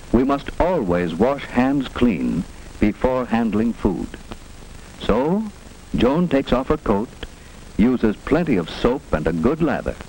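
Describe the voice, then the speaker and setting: Male narrator, lowish and animated, teaching children simply, 1940s school film with faint noise. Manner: instructive, simple phrasing for children. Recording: noisy 1940s film soundtrack with hiss.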